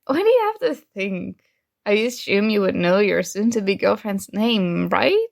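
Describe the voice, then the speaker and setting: A woman playing a secretly jealous, teasing roommate in an intimate one-sided ASMR roleplay, with hesitant pauses. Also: mid-range voice, very animated, gravelly. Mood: playful teasing. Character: secretly jealous female roommate, teasing, hurt but feigning indifference.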